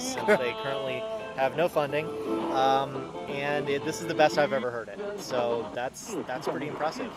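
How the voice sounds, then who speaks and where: A man's voice: medium pitch, rough recording. A man hosting a casual on-location vlog outdoors, commenting on a hands-on experiment. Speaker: host running a drone antenna experiment.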